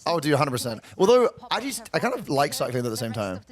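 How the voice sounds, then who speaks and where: A man's voice: mid-range voice, very animated, fast. A man co-streaming with a friend, chatting playfully and teasing. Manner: playful, teasing, laughs often. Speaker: guest co-streamer. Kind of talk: casual chat.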